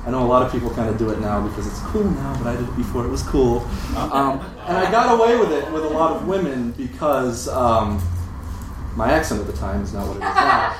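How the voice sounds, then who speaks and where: A man's voice: medium pitch, brisk. Midwestern man in an echoey, noisy room. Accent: American Midwestern. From Europe, Britain, moved to the States in seventh grade. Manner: casual, self-deprecating, swears. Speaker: guest storyteller. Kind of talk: live comic storytelling to an audience. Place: live event room with audience. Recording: echoey live room, distant mic, noisy.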